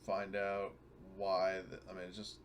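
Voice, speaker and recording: A man's voice: deep voice, monotone, unhurried, a little hiss or hum. A man bantering casually as a player in a tabletop roleplaying game.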